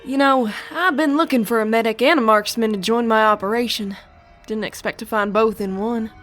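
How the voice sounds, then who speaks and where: A woman's voice: fairly high pitch, moderate pace, fairly bright tone, soft background music, close to an everyday voice. An actress playing a scheming, cheeky outlaw woman, breezy and teasing, in a fantasy audio drama.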